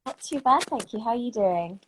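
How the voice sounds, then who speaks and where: A British woman chatting as an interview guest on a live video call, in a measured, understated way. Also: a little high, expressive, a little hiss or hum, low-quality recording. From United Kingdom. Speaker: guest, tailor and designer. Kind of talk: casual interview chat.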